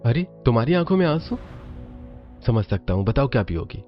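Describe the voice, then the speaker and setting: A man's voice: medium pitch, big pitch swings, a little fast, lo-fi sound, faint music behind, natural voice. A man voicing a caring, cheerful young husband in a cartoon story. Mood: tender concern.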